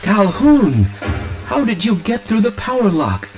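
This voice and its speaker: A man's voice: high voice, wildly swooping pitch, unhurried, music in the background, heavily acted, poor audio. An actor playing a frightened man in hiding in a video game, speaking in an urgent, curt manner. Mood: fearful, urgent. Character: frightened, jumpy man hiding during a disaster.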